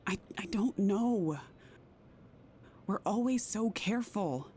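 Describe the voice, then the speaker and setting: A woman acting a careful, troubled career mother in an intimate audio drama, measured and sometimes hesitant. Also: medium pitch, animated, mellow, slight background noise. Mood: anxious, confiding.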